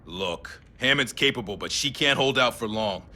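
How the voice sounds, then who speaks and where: A man's voice: mid-range voice, expressive, normal speed, pushed and bright, a little hiss or hum. An actor playing a stern, urgent raider henchman in a video game, terse and full of warning. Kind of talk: video game cutscene acting.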